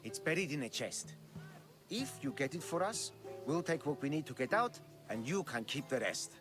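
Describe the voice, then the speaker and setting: A man's voice: on the high side, sing-song, normal speed, over music. A man playing an earnest male islander in a video game, talking like someone telling a tale. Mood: earnest, bargaining. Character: earnest male islander seeking a new life. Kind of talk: video game dialogue acting.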